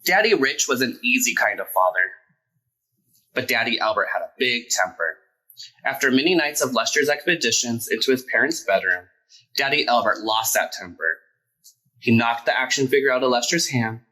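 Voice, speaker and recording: Man, picture-book storyteller, expressive, emphatic, bright projected tone, mid pitch. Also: moderate pace, poor audio. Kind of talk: children's picture book read-aloud.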